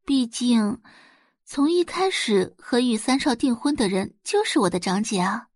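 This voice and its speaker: A woman voicing a soft-spoken young socialite in an audiobook drama, politely condescending and sweetly venomous. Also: on the high side, lively intonation, measured pace, no room sound, studio quality. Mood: sweetly smug. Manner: gentle polite tone laced with condescension. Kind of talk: audiobook drama character acting.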